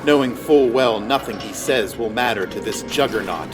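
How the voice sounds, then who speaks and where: Man narrating fantasy over clear music. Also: on the low side, moderate pace. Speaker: storybook narrator.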